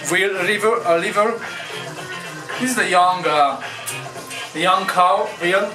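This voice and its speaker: A young man with a Hungarian accent drawls through casual chat outdoors in the cold, laid-back and swearing freely. His voice sits a bit high, and he speaks very slowly. Music plays clearly behind him. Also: low-quality recording. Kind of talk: casual vlog chat in English.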